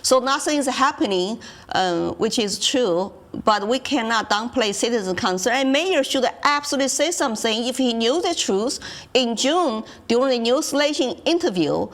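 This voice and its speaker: A woman's voice: a little high, animated, normal speed, fairly bright tone. A woman with a Mandarin Chinese accent, a guest on a local TV talk show, making her case earnestly and asking rhetorical questions. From China. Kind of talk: political talk show discussion. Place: local community TV studio.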